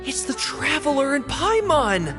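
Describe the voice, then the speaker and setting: A man's voice: very high-pitched, sing-song, measured pace, big-room sound, over music, exaggerated voice. A man voicing an earnest, admiring young boy in a game. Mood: eager. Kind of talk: English game voice acting.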